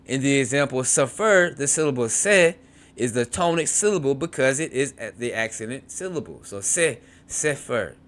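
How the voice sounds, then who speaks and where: Male teacher, lively pitch swings, reads a textbook then explains in his own words, repeats words to drill them. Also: normal speed. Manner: repeats words to model pronunciation, frequent restarts and asides. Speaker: Hebrew teacher. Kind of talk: reading a textbook aloud and explaining.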